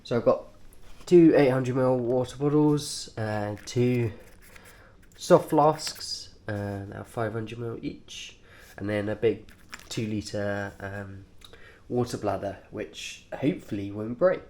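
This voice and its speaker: A man speaks in a fairly low, nasal voice, congested from a cold. He takes his time, moving very slowly through short, halting phrases. The delivery is informal and offhand, like a vlogger showing his gear. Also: dark tone. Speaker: cyclist presenting his race kit. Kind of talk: informal vlog, kit walkthrough.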